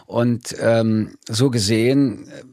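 An older man from France, whose first language is French, answers interview questions in a thoughtful, measured way with frequent hesitations. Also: medium pitch, fairly even intonation, a little mellow, dry recording, studio quality. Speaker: interview guest.

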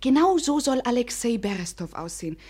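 A woman's voice: a little high, big pitch swings, brisk, warm tone, husky. An actress playing a romantic, haughty young lady of the gentry in a German radio drama, imperious and eagerly curious. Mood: dreamy, curious. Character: impatient, romantic young noble lady, haughty but girlish.

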